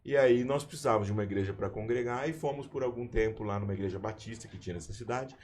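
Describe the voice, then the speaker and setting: A man in his forties, a university chaplain and pastor, telling his story in a relaxed podcast chat with frequent restarts. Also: lowish voice, fast talker. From Brazil. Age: 40s. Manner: warm, storytelling, with many restarts. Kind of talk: casual podcast conversation.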